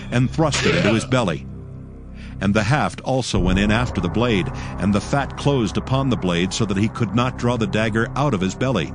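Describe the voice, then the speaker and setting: Low-voiced solemn man narrating scripture. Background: music.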